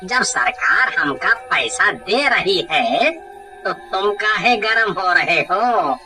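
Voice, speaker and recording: A mocking, smug contractor villain performed by a man in a cartoon horror tale. He drawls very slowly, taunting as he goes, over clear music. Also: sits high, lively intonation, sharp tone, recorded from a distance, full character voice. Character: smug, mocking male goon boss, rustic contractor.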